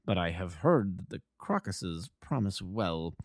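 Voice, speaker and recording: A man voicing a calm, amused gentleman detective in an audiobook, bland, imperturbable and quietly wry. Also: deep voice, measured pace, dry recording, studio quality.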